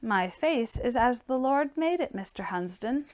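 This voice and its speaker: Woman as a young clerk, soft-spoken, restrained, formal, guarded, fairly high pitch, classic novel dialogue. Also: expressive, unhurried. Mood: reserved, questioning. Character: quiet, reserved young male clerk, politely guarded. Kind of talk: dramatized audiobook character reading.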